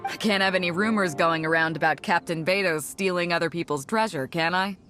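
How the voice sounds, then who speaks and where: A woman's voice: on the low side, brisk, fairly bright tone, natural voice. An actress playing a confident, easygoing female pirate captain in a video game, with a swaggering, casual and self-assured manner. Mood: confident, generous. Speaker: video game character voice actor. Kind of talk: scripted game dialogue. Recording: clean studio voice over game music. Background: game background music.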